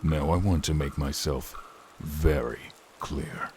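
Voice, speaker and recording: A man playing a stern, commanding Dragonborn paladin with a gruff, growling voice in a fantasy audio drama. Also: extremely low pitch, fairly even intonation, slow pace, over music. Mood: stern, threatening. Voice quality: gravelly, growling. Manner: commanding, intimidating.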